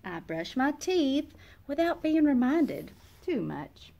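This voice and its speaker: A woman's voice: high-pitched, very animated, slow pace, vocal fry, warm tone. A woman in her thirties reading a children's picture book aloud, expressively acting out the feelings of the young narrator. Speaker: read-aloud host, storyteller. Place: small indoor classroom or reading room.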